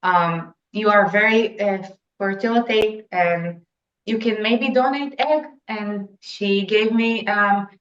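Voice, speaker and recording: A young Israeli woman whose first language is Hebrew, speaking earnestly and excitedly as an interview guest, sometimes searching for English words. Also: medium pitch, slow pace, reverberant, muffled recording. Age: young adult. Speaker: egg donor guest. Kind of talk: webinar interview.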